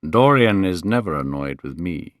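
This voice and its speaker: A man voicing a worldly, teasing gentleman in a storybook reading, slow and musical in his delivery. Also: low-pitched, lively intonation, no echo, studio quality, voice pushed down. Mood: languid, teasing. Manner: slow, drawling, cynical.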